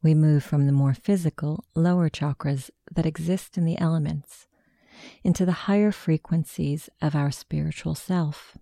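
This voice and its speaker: A woman narrating a guided meditation, giving soft, deliberate, soothing instructions into a close microphone. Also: low voice, steady tone, creaky, dry recording.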